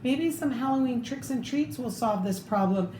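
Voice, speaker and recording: A woman's voice: fairly high pitch, lively intonation, normal speed, warm tone, lightly acted. A woman voicing a kindly, scheming neighbour woman from a children's Halloween storybook. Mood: helpful. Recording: echoey room with faint noise.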